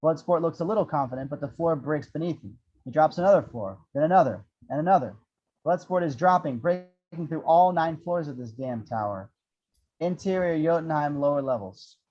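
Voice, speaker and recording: A man's voice: a little high, lively intonation, brisk, a little mellow. A man narrating action descriptions at a script table read, with irreverent, wry asides. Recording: dull, lo-fi audio.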